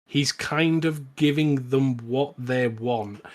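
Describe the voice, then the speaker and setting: A man's voice: medium pitch, slow pace. A man co-hosting a podcast, giving a casual plot recap in a hesitant, stop-and-start way. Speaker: podcast co-host.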